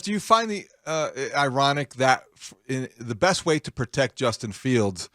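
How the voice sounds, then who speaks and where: A man's voice: mid-range voice, no echo, clean studio sound. A man hosting sports talk radio, putting long, argumentative questions in a conversational way.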